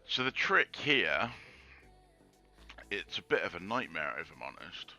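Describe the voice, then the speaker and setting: A man with a croaky sore throat, thinking aloud through a casual 3D modelling tutorial with lots of ums. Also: low-pitched, big pitch swings, unhurried, sharp tone, faint music behind. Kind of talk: casual screen-recorded tutorial.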